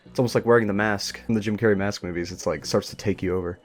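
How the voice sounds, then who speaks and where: A man's voice: lowish voice, steady tone, quick pace. A man hosting a casual interview, musing aloud and feeling his way tentatively through his questions. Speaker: interview show host. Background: music.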